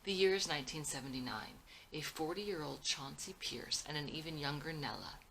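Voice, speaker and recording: A woman's voice: deep voice, normal speed, fairly bright tone, a little hiss or hum, rough recording. A woman reading scripted action and scene directions as a dramatic narrator. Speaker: screenwriter reading own script.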